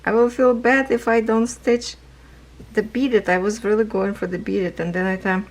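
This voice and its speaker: A woman chatting casually about her cross-stitch projects, rambling, laughing often, with a hoarse voice as she is losing it. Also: mid-range voice, flat delivery, normal speed. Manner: rambling, non-native phrasing, laughs often. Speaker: craft vlogger. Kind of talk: casual chat while stitching.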